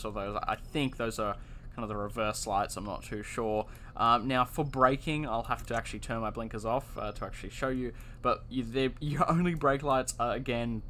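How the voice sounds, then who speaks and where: A man's voice: medium pitch, bright, forceful tone, a little hiss or hum. A young man giving casual, enthusiastic commentary while reviewing a car in a video game, with lots of fillers and repeated words.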